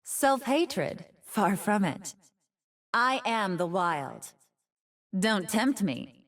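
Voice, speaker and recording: Game casting: wild, wistful nine-tailed fox temptress, theatrical and predatory, lingering pace, slightly distant from the mic. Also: female voice, mid-range voice, big pitch swings, very slow, full character voice. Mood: seductive, predatory. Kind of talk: video game character voice lines.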